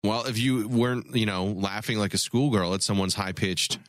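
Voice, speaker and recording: A man's voice: on the low side, normal speed, fairly bright tone, no room sound. A man co-hosting a podcast, joking and swearing through crude comedic banter. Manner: joking, laughs often, swears. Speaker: podcast co-host.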